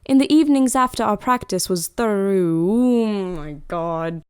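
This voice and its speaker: A woman records script lines as an amateur voice actor. She takes her time and speaks at a medium pitch, dropping into casual asides. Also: a little slow, clean tone, dry recording.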